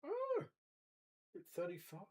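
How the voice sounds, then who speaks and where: A man of forty co-hosting a casual chat, wry and pedantic, quick to argue a point. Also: sits high, huge pitch swings. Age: 40s. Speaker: podcast co-host.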